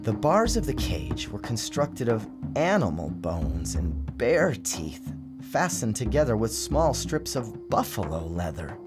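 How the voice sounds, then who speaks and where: Deep-voiced man giving a dramatic storybook reading. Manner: theatrical, measured, expressive. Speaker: author narrating his own story. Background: music.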